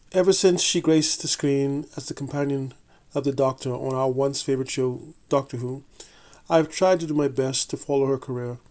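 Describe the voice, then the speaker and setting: A man reading a scripted TV review in a measured, deliberate way, with the odd slip and restart. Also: mid-range voice, warm tone. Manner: measured, deliberate delivery with occasional slips and restarts. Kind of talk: scripted review read aloud.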